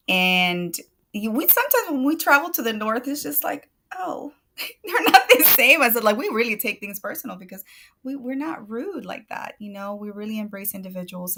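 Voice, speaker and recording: Woman, gravelly voice, medium pitch, chatty, laughs often, first language Spanish, video call. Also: a bit bright. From El Salvador. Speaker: guest. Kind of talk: casual livestream chat.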